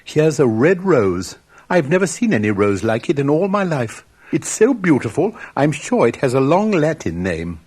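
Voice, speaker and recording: A man's voice: a little high, very animated, moderate pace, darkish tone, slightly rough, pitched above the performer's own voice. A man voicing an earnest, pompous young male student in a storybook fairy tale, with music behind. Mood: delighted.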